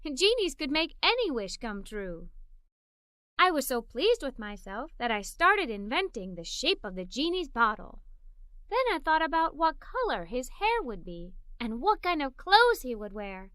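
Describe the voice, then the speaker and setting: High, animated woman reading a children's story. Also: unhurried, smooth, no echo. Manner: expressive, animated, with giggles. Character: curious, imaginative, excitable young adventurer girl. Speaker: storybook reader. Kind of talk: read-aloud children's story narration in first person as a young girl.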